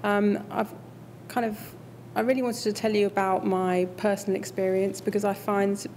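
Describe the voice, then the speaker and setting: A woman speaking to an audience in an easy, conversational way, her voice mellow and fairly flat in pitch. She hesitates with fillers as she talks. A faint low rumble sits under the recording. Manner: relaxed and conversational, many fillers. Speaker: invited speaker. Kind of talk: closing a talk, answering audience questions.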